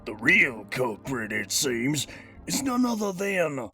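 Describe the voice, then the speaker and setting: A man's voice: medium pitch, sing-song, slow pace, pushed and bright, soft background music, exaggerated voice. A man voicing a cocky cybernetic gangster villain who hosts a toy review, talking in theatrical, slangy old-time mobster style. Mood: exasperated. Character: cybernetic master criminal gangster, cocky, streetwise action-figure villain. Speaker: host of a toy review show. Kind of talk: in-character scripted review monologue.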